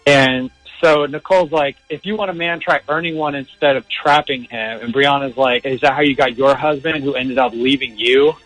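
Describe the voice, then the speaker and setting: A man's voice: medium pitch, steady tone, moderate pace, hardly any pauses, fairly bright tone, dry recording, low-quality recording. Casual young male radio caller, music behind. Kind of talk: casual personal storytelling.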